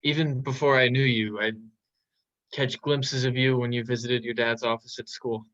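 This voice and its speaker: Young guy playing a shy nerdy scientist student, halting and sweet, stage drama, kinda lo-fi audio. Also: medium pitch, little pitch movement, a bit bright, natural voice. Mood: nervous, tender. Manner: hesitant, halting. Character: shy, earnest male graduate student scientist, smitten. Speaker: student actor. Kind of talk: naturalistic stage drama acting.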